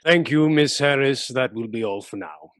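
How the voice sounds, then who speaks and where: An actor playing an avuncular police chief in a radio play, genial but easily flustered and hesitant. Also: male voice, mid-range voice, normal speed, fairly bright tone, no room sound, studio quality, natural voice. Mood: genial, placating. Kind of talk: dramatic radio-play acting.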